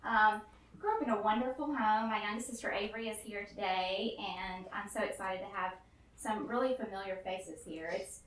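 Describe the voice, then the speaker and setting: A woman's voice: medium pitch, moderate pace, fairly bright tone. A woman, sharing a nervous, earnest personal testimony before a live audience and laughing often. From Memphis, Tennessee, US. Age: 30s. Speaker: guest storyteller. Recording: roomy and distant with faint room noise.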